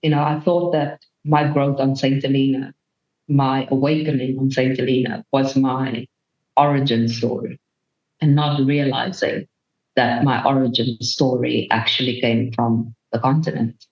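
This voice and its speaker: A woman's voice: very deep voice, level tone, a little slow. A woman from Namibia, giving earnest, thoughtful answers as an interview guest. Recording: roomy, dull remote recording.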